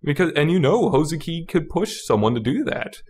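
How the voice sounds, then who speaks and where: A man's voice: fairly high pitch, very animated, brisk, darkish tone, breathy edge. A man hosting a review podcast in a casual, opinionated style, rambling emphatically and repeating his words as he argues his points. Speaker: podcast host reviewing a visual novel.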